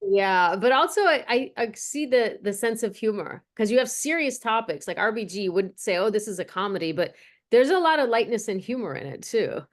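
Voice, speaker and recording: A woman's voice: mid-range voice, lively intonation, brisk. A woman interviewer asking questions casually, hesitating and searching for words.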